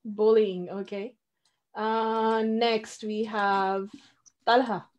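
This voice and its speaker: A middle-aged woman teaching an online children's class, calling names and repeating each answer back in a brisk, encouraging way, heard through a webcam at home. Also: fairly high pitch, level tone, lo-fi sound. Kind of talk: leading a class roll call on a video call. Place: small room at home, brick-pattern wall. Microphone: video call, webcam.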